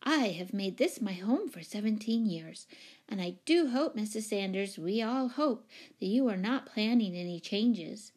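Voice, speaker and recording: A woman voicing a timid, flustered small elderly lady, squeaky, fluttery and apologetic, in a storybook reading. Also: expressive, unhurried, darkish tone. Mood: earnest, anxious.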